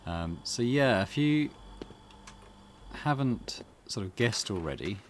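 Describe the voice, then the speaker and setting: Low-pitched man doing casual game commentary. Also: lively intonation, slow pace, a little hiss or hum. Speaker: gaming channel host. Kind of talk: casual playthrough commentary, reading options aloud.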